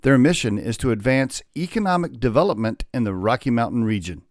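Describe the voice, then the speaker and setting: A man's voice: mid-range voice, expressive, brisk, dry recording, studio quality. A man hosting a podcast interview over a video call, chatty and asking rambling questions. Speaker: podcast host. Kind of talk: casual interview.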